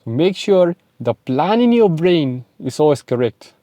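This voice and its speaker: A young Bulgarian-accented man lectures with pushy, repetitive emphasis, his voice sitting a bit high yet mellow and soft-edged. The sound is close and dry, with no room echo. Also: big pitch swings, unhurried. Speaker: self-improvement vlogger and speaking coach. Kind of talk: motivational talk to camera.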